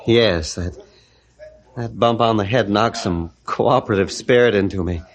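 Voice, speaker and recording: A man's voice: fairly low pitch, a little slow. An actor playing a chastened, repentant man in an old-time radio drama, earnest and humbled. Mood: humbled, grateful. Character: chastened, repentant man won over after a head bump.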